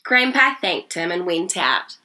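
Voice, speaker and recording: A woman, reading a children's story aloud in an animated, smiling way. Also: lowish voice, unhurried, slight background noise. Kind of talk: expressive storybook read-aloud. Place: small indoor reading room.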